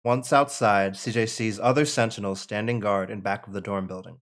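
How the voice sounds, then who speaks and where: A man's voice: lowish voice, fairly even intonation, a little fast. A man narrating stage directions at a table read in an informal, conversational way. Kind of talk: reading screenplay stage directions aloud, with casual asides.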